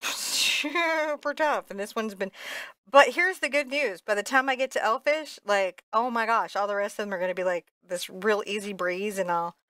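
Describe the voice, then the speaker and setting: Bright-voiced woman teaching painting, playfully chatty. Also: medium pitch, moderate pace, no room sound, clean studio sound. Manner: playful, chatty, self-deprecating. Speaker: painting teacher, show host. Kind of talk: casual painting lesson with banter. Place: indoor art studio.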